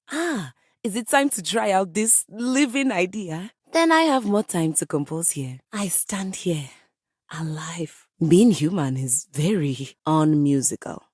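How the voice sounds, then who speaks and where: A woman's voice: fairly low pitch, darkish tone, breathy edge, no echo, studio quality, heavily acted. A woman playing a passionate piano come to life in a video game, a haughty, dramatic composer with florid, formal diction. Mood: reflective, formal. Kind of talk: video game character voice acting.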